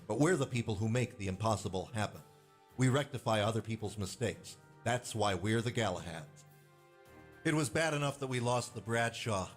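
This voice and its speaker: An actor playing a stern, weary squadron commander giving grave, hard-nosed speeches to pilots in a space game. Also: male voice, lowish voice, lightly acted. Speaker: military commander briefing pilots. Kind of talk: video game voice acting, military briefing speech. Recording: music behind the voice.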